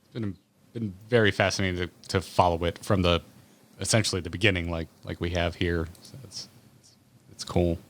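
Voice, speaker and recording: Lower-pitched man asking roundabout questions. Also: steady tone, a little fast, long pauses. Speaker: podcast interviewer. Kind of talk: conversational interview questions.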